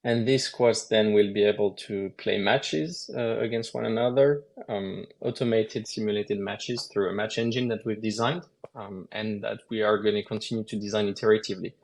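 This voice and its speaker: A man, a lead game designer, explaining game mechanics at a measured pace with frequent hesitations in a live Q&A. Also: fairly low pitch, fairly even intonation, gravelly.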